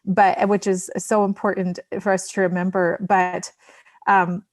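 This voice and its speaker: A woman in a casual discussion, speaking in a halting, rambling and emphatic way as a podcast guest. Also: mid-range voice, flat delivery, no room sound.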